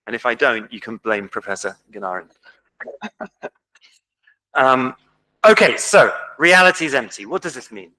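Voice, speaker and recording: Man, English accent, conference lecture, informal, self-deprecating, bright tone. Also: mid-range voice, low-quality recording. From England. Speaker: conference speaker. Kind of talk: academic lecture. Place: lecture hall.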